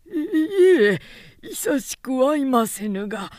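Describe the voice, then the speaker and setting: A man's voice: very high-pitched, very animated, slow pace, darkish tone, dry recording, clean studio sound, pitched above the performer's own voice, exaggerated voice. A woman narrator playing a frightened, evasive male court nobleman with hesitant, deferential speech in a storybook reading.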